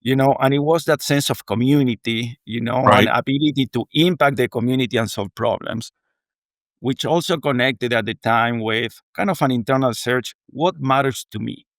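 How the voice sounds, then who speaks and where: A man's voice: mid-range voice, normal speed, few pauses, dry recording. A middle-aged man from Argentina, a native Spanish speaker with an Argentine accent, speaking earnestly and reflectively as an interview guest. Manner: earnest, reflective, frequent "you know". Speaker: guest, water technology executive. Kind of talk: conversational interview.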